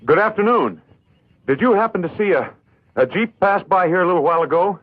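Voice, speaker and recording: Grumpy stranded guy in old dubbed horror flick, lowish husky voice, very up and down, brusque. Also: animated, a little fast. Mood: polite. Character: irritable, impulsive man stranded on a trip, protective of car. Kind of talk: dubbed vintage horror film acting. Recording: muffled tinny old film soundtrack.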